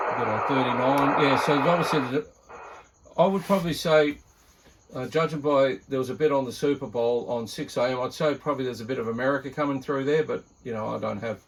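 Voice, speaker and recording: An Australian man giving a casual, rambling demonstration as a radio hobbyist, thinking aloud in an easygoing manner. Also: mid-range voice. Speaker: radio hobbyist presenting a video. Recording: very noisy, loud radio static. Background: CB radio static and faint transmissions.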